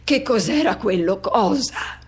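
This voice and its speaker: A woman's voice: mid-range voice, expressive, measured pace, breathy edge. An actress playing a man who recalls his first teenage love in a radio theatre monologue, in fragmented, repetitive, poetic phrases. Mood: awed, intense.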